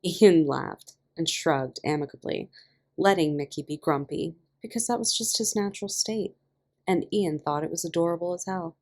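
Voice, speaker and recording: A woman reading a story aloud as a podfic narrator, with expressive scripted delivery. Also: fairly low pitch, moderate pace. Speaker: podfic reader.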